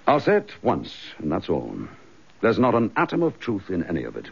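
An actor playing an embattled, indignant British police chief inspector in a radio drama, clipped, forthright and sardonic. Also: male voice, lowish voice, wildly swooping pitch, brisk, gravelly, breathy edge, heavily acted. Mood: determined. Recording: slightly dull radio drama recording.

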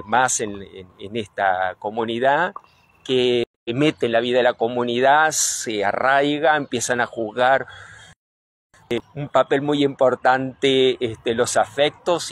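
Halting middle-aged Argentine man. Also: mid-range voice. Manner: halting, searching for words, earnest. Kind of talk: reflective interview answer. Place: outdoors in front of a church. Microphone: handheld reporter microphone.

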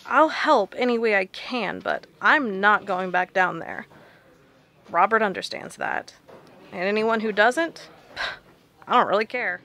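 Resolute researcher played by a woman, mid-pitched, sharp-edged, fed up and blunt, audio drama. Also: a little slow. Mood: firm, defiant. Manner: blunt, firm.

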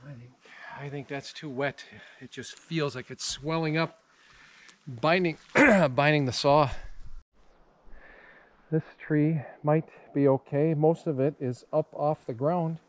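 A man's voice: fairly high pitch, takes it slowly. A man hosting a camping vlog, talking in a casual, folksy and playful way outdoors at a campsite. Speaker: host of a camping trip vlog. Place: outdoors at a wooded lakeside campsite. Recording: noisy outdoor recording with rain patter. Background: rain and outdoor noise.

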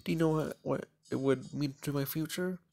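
A man's voice: on the high side, normal speed, vocal fry, warm tone, pitched above the performer's own voice. A man voicing a proud, bossy, ambitious teenage schoolgirl from an anime visual novel, with an affected girlish delivery. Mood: proud, insistent.

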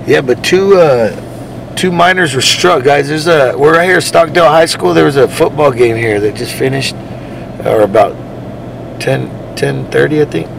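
A man's voice: fairly high pitch, moderate pace, vocal fry. A man hosting a livestream, chatting casually and in slang to his viewers as he covers police activity. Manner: slangy, addressing viewers as guys. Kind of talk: casual live commentary to viewers. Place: outdoors at night roadside, then in a car. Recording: noisy outdoor street and car ambience.

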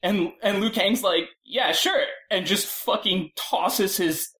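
A man talking casually as a panel guest, halting and peppering his speech with filler words. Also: high voice, fairly bright tone. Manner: halting, filler-heavy, frequent "like". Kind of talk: casual discussion.

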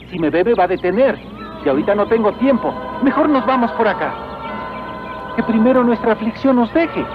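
A meek earnest peasant in a cartoon, high voice, muffled old audio with music. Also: male voice. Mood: hurried. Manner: deferential, earnest. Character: humble, devout young peasant man, earnest and obedient. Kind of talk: dubbed cartoon acting.

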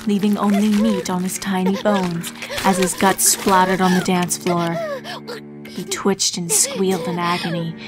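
A woman reading a scripted horror story as a dramatic narrator. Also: on the low side. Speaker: narrator of horror fan fiction. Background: music.

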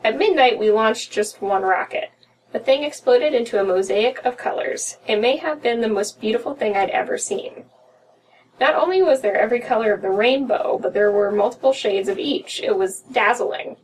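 Higher-pitched young American woman reading aloud. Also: normal speed. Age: young adult. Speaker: podcast co-host reading horror stories. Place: small room at home, indoors. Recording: distant mic with room noise.

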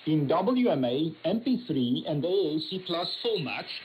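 A woman's voice: sits low, sing-song, unhurried, dull audio. A woman working as a radio continuity announcer, reading a scripted closing announcement over shortwave. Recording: noisy shortwave radio reception. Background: faint radio static.

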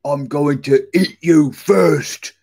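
Storybook troll, bullying, hungry, growling shouts, slow menacing delivery. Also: male voice, on the high side, vocal fry, husky, pitched above the performer's own voice, full character voice.

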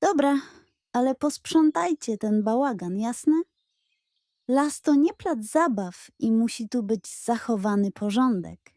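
A woman's voice: fairly high pitch, expressive, slow pace, warm tone, no room sound, clean studio sound. A woman voicing a grumpy old male turtle who scolds and growls indignantly in a children's story. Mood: grumbling.

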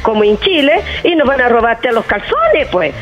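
A woman's voice: on the high side, very animated, moderate pace, faint music behind. A mature woman from Brazil with a Brazilian accent in Spanish, a native Portuguese speaker, calling a live show and talking emphatically. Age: middle-aged or older. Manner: emphatic, impassioned, repetitive. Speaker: phone-in caller. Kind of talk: opinionated live phone-in talk. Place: phone line into live TV show. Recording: phone call heard over broadcast.

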